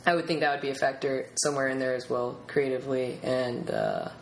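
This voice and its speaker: A man's voice: medium pitch, wildly swooping pitch, a little fast, gravelly. A man hosting a podcast in a casual interview style, with a tentative, wordy way of talking. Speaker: podcast host. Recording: roomy echo, faint background noise.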